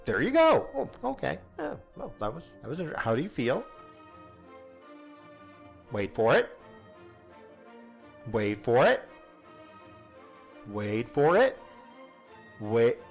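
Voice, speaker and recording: A man acts out an irritable small-town radio host for a comic audio drama. His middle-pitched voice swings widely as he grumbles and needles with theatrical sarcasm. There is light music around the show. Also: huge pitch swings, slow pace, creaky, pauses often, heavily acted. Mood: sarcastic goading.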